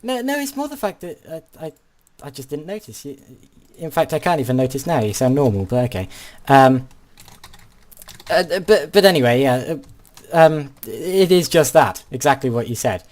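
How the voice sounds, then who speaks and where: A man's voice: fairly high pitch, big pitch swings, normal speed. A teenage boy, commenting on his gameplay with a lot of hesitation and polite, old-fashioned turns of phrase. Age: teens. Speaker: gaming channel host.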